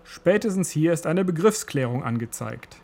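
Male radio essayist, lighter higher pitch, scripted narration, measured lecturing delivery. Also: animated, gravelly, a little mellow.

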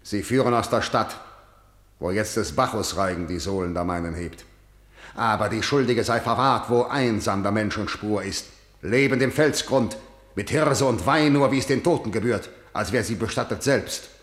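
A man's voice: lowish voice, normal speed, fairly bright tone, lightly acted. An actor playing an aging, domineering king in a verse radio play, commanding and scornful. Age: older. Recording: roomy studio echo.

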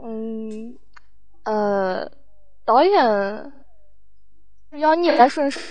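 A woman chatting casually in a halting, hesitant way as she recounts production work as a guest. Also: on the high side, expressive, lo-fi sound.

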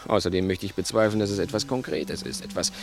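Cool scheming crook in an old radio drama, deep creaky voice, ironic and talks down to people. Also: male voice, over music. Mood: brooding. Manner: deliberate, ironic, condescending. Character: cool, calculating, sardonic male crook, the dominant partner. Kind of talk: German radio play acting, 1960s.

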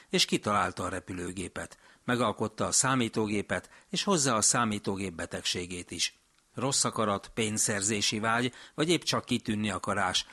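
Low husky male radio narrator. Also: sharp tone, no echo. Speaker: radio program host. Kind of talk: scripted narration.

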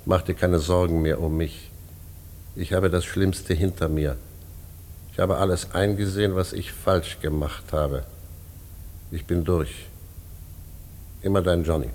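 Prison director: weary authority, very low voice, slow deliberate delivery, long reverb, radio drama. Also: male voice, steady tone, darkish tone. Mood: solemn reading. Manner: measured, deliberate, pensive. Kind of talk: German radio play acting. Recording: long hall reverb, faint hiss.

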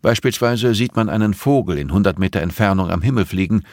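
A man's voice: lowish voice, fast talker, a little mellow, dry recording, studio quality, pitched above the performer's own voice, close to an everyday voice. Man playing composed, ironic blind teacher. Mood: calm, reflective. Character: blind middle-aged male teacher, composed, patient, quietly ironic. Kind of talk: audiobook character dialogue.

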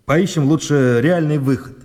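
An actor playing a proud, unyielding and skeptical army captain and judge in a radio drama, speaking curtly and challengingly. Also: male voice, on the high side, normal speed, darkish tone, lightly acted. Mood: dry, skeptical. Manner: curt, challenging. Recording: radio play with music behind.

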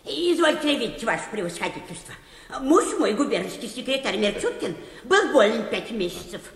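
An actress playing a pestering, plaintive older petitioner in a comic radio play, whining and obsequious in an old-fashioned folksy way. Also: female voice, a little high, very animated, normal speed. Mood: plaintive, insistent. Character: pestering, plaintive older petitioner woman, humble yet stubborn. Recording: echoey hall reverb.

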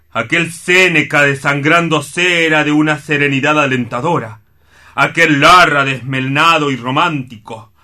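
An actor playing a gloomy, solemn and indignant war-scarred orderly in a radio play, grave, formal and plaintive. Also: male voice, fairly high pitch, sharp tone.

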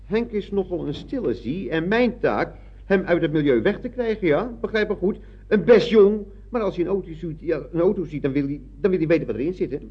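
An actor playing an earnest, deferential, naively idealistic employee in a radio comedy, speaking formally. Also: male voice, high voice, lively intonation, brisk, slightly rough. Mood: earnest, proud. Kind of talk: Dutch radio comedy acting, around 1970. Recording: dull old radio recording.

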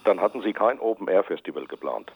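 A matter-of-fact male forecaster in a radio play. His low voice is measured and formal, coming dry down a narrow phone line. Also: expressive, creaky, no room sound, full character voice. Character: calm, matter-of-fact weather office forecaster. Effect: telephone filter. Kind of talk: radio drama acting.

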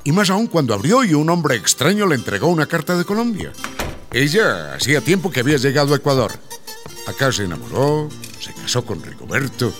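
A man's voice: medium pitch, wildly swooping pitch, brisk. A man narrating a radio serial as a scripted, dramatic storyteller. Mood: storytelling. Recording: music under the narration.

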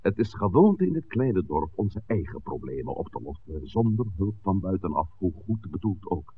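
An actor playing an authoritative village priest in a 1960s radio drama, speaking in a measured, formal, admonishing way. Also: male voice, low voice, very animated, husky, exaggerated voice. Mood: commanding. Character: authoritative village priest, composed, stern peacemaker. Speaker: pastor. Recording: dull, noisy 1960s radio recording.

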